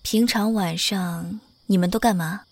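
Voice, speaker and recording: Composed young mother, medium pitch. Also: measured pace, darkish tone. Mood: probing. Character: composed, mature young mother, reserved and strict. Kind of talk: modern audio drama acting.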